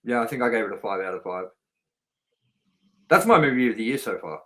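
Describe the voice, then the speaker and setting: A man's voice: lowish voice, expressive, a little fast, bright, forceful tone. A man hosting a casual film review chat, speaking in an informal, chatty way with some swearing. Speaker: podcast host and film reviewer.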